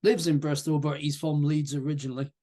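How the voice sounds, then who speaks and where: A man's voice: a little high, level tone, fairly quick, warm tone. A man from southwest England hosting a casual metal interview, chatty and jokey. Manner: chatty, jokey, banter-loving. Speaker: interview host, musician.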